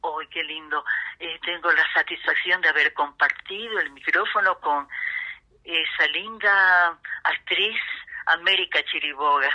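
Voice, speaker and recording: A woman in her seventies with an Ecuadorian highland accent, reminiscing as an interview guest in an emphatic, repetitive way. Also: measured pace, sharp tone, lo-fi sound. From Ecuador, grew up in Riobamba. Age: 70s. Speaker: interview guest, veteran radio actress.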